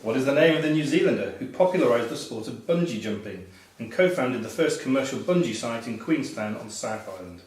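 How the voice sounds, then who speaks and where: A man's voice: mid-range voice, lively intonation, brisk. Echoey, distant man reading quiz questions. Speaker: quiz master. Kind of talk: reading pub quiz questions aloud to players. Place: echoey function room at a live quiz. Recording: roomy echo, distant mic, background noise.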